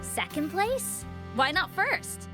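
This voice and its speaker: An actress playing a confident, competitive senior student in anime-style game dialogue. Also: female voice, very high-pitched, sing-song, slow, over music, full character voice. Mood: challenging.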